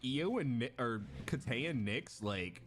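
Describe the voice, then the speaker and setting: A man's voice: mid-range voice, lively intonation, measured pace, faint music behind. A man casually chatting as he reacts to videos on a stream, rambling with lots of fillers and restarts.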